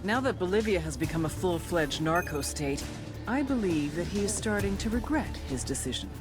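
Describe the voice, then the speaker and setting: An actress playing a cool, firm intelligence agent, speaking in a stern, matter-of-fact scripted style. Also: female voice, lowish voice, lively intonation, moderate pace, music in the background, close to an everyday voice. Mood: businesslike. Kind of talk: scripted briefing and interrogation.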